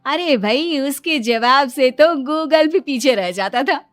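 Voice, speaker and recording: A woman narrating a domestic story in an animated storytelling style. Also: sits high, slow, dry recording, faint music behind.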